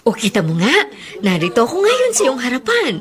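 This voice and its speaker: A woman's voice: fairly high pitch, wildly swooping pitch, brisk, a loud crowd. An actress playing a tender, weary mother back from working abroad, in emotional Filipino radio drama style. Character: weary mother home from working abroad, longing for her child.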